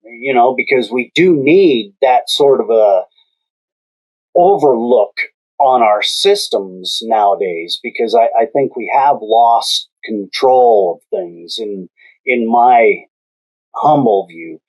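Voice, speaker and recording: A man hosting a podcast interview, speaking in a slow, measured way with long pauses. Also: medium pitch, expressive, a little mellow, rough recording. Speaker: podcast host.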